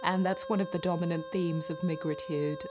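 A woman poet from Kenya speaking earnestly and deliberately about her work in an interview. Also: lowish voice, fairly even intonation. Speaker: poet and performer. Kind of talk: reflective interview talk.

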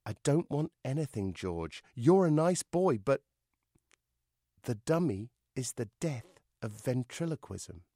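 A man's voice: mid-range voice, sing-song, slow pace, warm tone, dry recording, studio quality, full character voice. A man voicing a confident, stubborn, rebellious boy ventriloquist in an audiobook, self-assured and defiant. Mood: firm. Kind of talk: audiobook character voice.

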